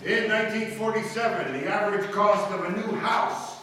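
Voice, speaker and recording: A man's voice: high-pitched, moderate pace, pushed and bright. A man hosting a live show, giving a scripted welcome from the stage. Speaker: host introducing a live radio show. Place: library hall before an audience. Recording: echoey hall, distant mic, clear noise.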